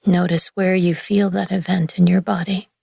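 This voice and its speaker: A woman leading a guided relaxation meditation in a gentle, soothing, hushed manner with long pauses between phrases. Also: lowish voice, flat delivery, gravelly, breathy edge, dry recording, clean studio sound. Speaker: yoga nidra meditation guide.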